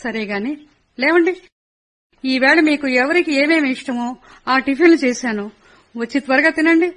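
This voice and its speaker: A woman's voice: high-pitched, normal speed, a bit bright. An actress playing a caring middle-aged mother of three in a radio play, scolding fondly. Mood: fussing. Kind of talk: radio drama acting.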